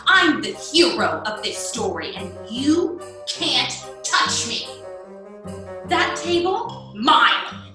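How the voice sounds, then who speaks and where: A woman's voice: high voice, pushed and bright, full character voice. A woman playing a brash, bullying fairy-tale girl thief, bossy and defiant, in a comic radio play. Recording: echoey room with music.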